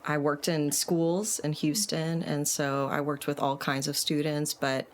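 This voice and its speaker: Middle-aged woman from Puerto Rico, very low voice, soft-spoken guest with faint hiss. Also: flat delivery, unhurried. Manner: soft-spoken, many fillers. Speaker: guest psychologist. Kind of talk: casual interview.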